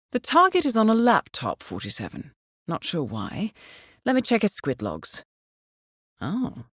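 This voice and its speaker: An actress with a British accent playing a composed, dryly wry intelligence handler briefing an assassin in a video game, poised and measured. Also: female voice, fairly low pitch, no echo. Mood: wryly amused. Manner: poised, measured, dry understatement.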